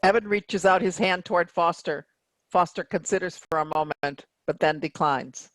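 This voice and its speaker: A woman's voice: lowish voice, normal speed, a bit bright, no echo, rough recording. A woman reading out stage directions as scripted narration for a play.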